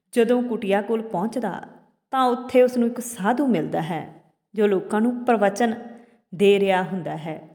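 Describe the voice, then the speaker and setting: A woman storyteller with a medium-pitched voice tells a moral folk tale. She delivers it deliberately in short phrases with pauses between them. The recording carries a long reverb, as if in a hall. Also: a little mellow.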